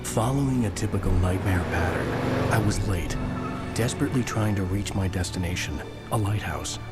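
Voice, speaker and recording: An actor playing a haunted thriller writer, giving brooding, measured narration in a video game. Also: male voice, deep voice, close to an everyday voice. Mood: brooding, uneasy. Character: haunted male thriller writer recounting his nightmare. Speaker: narrator and lead character. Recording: loud music over the voice.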